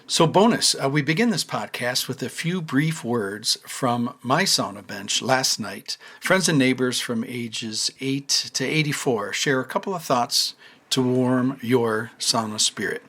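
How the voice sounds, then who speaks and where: A man of sixty hosts a relaxed podcast conversation. His pitch sits at an ordinary middle level, and he rambles with plenty of fillers, false starts and repeated words as he warms up to his guest. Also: a little slow. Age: 60s. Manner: rambling, many fillers and restarts. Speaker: podcast host. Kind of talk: casual podcast chat.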